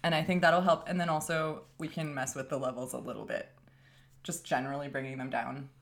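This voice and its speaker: A woman's voice: very deep voice, fairly even intonation, fairly quick, vocal fry. A woman co-hosting a podcast in casual chat, explaining things plainly with fillers and light laughs. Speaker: podcast co-host.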